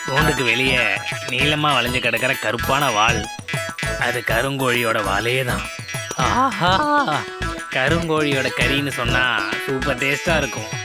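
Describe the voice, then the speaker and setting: A man's voice: mid-range voice, measured pace, loud music behind the voice, close to an everyday voice. Melodramatic sly cartoon animal villain played by a man. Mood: sly, gloating. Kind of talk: children's cartoon story acting.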